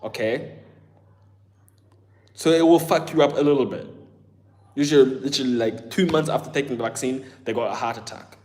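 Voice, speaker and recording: Man, live-stream host, mixed Southern English, German and Ghanaian accent, rambling casual chat, middle pitch. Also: normal speed, pauses often, a little mellow. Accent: mix of Southern English, German and Ghanaian. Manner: rambling, full of fillers, addresses chat as bro. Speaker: livestream host.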